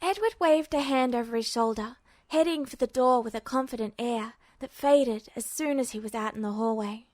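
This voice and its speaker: A woman with a fairly high voice narrating a story in a steady, storytelling manner. The recording is close and dry with no room sound. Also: moderate pace, few pauses, dry recording. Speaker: podfic reader. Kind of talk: scripted fiction narration.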